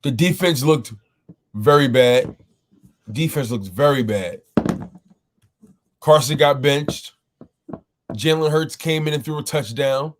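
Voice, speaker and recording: A man with a slightly scratchy voice hosts a live sports talk stream. He speaks slowly and drawn out, greeting his chat by name and repeating his points with loud exclamations. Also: medium pitch. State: throat a bit rough. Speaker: host of a sports call-in show.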